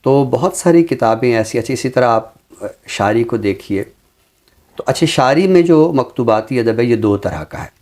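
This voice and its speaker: A man's voice: medium pitch, animated, moderate pace, darkish tone. A man with a Pakistani accent speaks as a literary scholar on a talk show, explaining books in formal, learned language.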